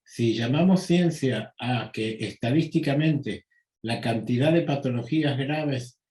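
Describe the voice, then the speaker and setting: An older man with an Argentine Spanish accent, a psychoanalyst answering interview questions slowly and deliberately, with frequent pauses and fillers. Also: medium pitch, mellow, echoey room. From United States. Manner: slow, measured, with many pauses and fillers. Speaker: psychoanalyst guest.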